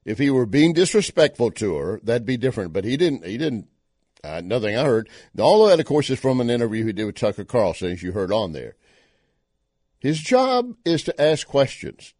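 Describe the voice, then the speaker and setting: A man's voice: mid-range voice, very animated, moderate pace, darkish tone, dry recording, studio quality. A Texan man hosting an opinion talk show, commenting indignantly with emphatic questions. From Texas.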